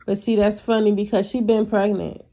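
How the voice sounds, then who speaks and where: A woman's voice: medium pitch, level tone, moderate pace, warm tone. An American woman talking casually and bluntly on a confrontational phone call, with a dismissive manner. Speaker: caller, vlogger.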